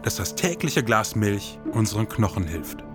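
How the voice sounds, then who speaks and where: A man's voice: on the low side, very animated, moderate pace. A man in his forties co-hosting a scripted, performative podcast in an enthusiastic, colloquial manner. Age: 40s. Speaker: podcast co-host. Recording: long reverb, music underneath.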